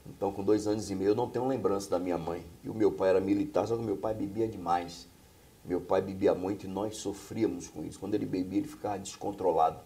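A man's voice: low voice, fairly even intonation, quick pace, dark tone. A middle-aged man from Bahia, a pastor and singer, telling his life story as a guest in a small studio. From Bahia, Brazil. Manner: earnest storytelling with frequent tag questions.

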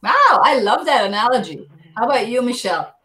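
Lively woman host in an echoey room. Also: on the high side, fairly quick. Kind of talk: casual video call chat. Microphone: video call. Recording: echoey room on a video call.

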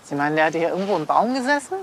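Brisk, fast-talking pathologist. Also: female voice, low voice, sing-song, a little hiss or hum. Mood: inquiring. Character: brisk, sceptical female forensic pathologist. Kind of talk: television crime drama acting.

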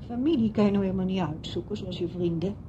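Radio play: defensive, withdrawn woman actress, curt delivery, medium pitch, muffled noisy vintage recording. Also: quick pace, natural voice. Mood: bitter. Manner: curt, reluctant. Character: withdrawn, bitter, defensive woman living alone. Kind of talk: radio drama acting.

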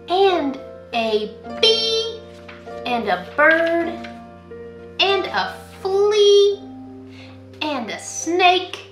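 A young woman, a school librarian, reading a picture book aloud to children in an expressive, sing-song way. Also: very high voice, echoey room. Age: young adult. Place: indoor room at home, by a window.

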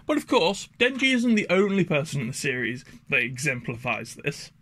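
Man, video essay narration, animated pitch, dry close recording. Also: moderate pace, husky, dry recording. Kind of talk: casual scripted video essay.

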